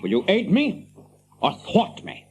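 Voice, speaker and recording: An actor playing a proud, commanding ranger and heir to a throne in a fantasy radio drama, with grave, declamatory delivery. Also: male voice, high voice, wildly swooping pitch, unhurried, noisy background, exaggerated voice. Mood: proud, defiant.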